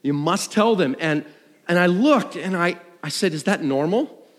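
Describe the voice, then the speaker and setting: A man tells a personal testimony to a congregation in a conversational, self-deprecating way, acting out dialogue and thoughts like an animated storyteller. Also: high voice, unhurried. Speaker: worship leader. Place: large room, speaking to an audience. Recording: live hall with long reverb.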